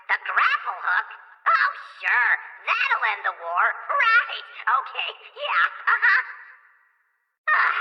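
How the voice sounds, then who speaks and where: A small, cheeky alien propaganda broadcaster from a video game, with a high, squeaky voice that swoops wildly. The delivery is sarcastic and theatrical, taunting humans with spiteful relish. It rings out over a reverberant loudspeaker. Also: female voice, distant mic, heavily acted. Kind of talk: mock-official wartime propaganda broadcast, video game acting.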